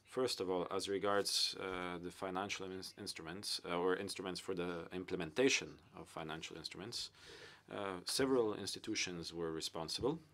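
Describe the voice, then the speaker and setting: A man giving simultaneous English interpretation at a conference panel, with frequent hesitant pauses and fillers. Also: deep voice, steady tone, fairly bright tone.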